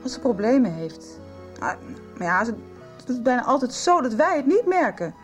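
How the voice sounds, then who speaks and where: A troubled teenage girl in a radio soap. She speaks haltingly in a fairly high voice that moves widely in pitch, with faint music behind. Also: big pitch swings. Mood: hesitant, resigned. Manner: hesitant, halting. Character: troubled, hesitant teenage girl with a drinking mother.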